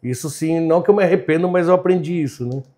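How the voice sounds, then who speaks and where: A man's voice: a little high, quick pace, a crowd in the background. An Argentine-born man whose first language is Spanish, a chef and entrepreneur chatting openly as a podcast guest, often laughing and tagging lines with "né?". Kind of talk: casual interview chat.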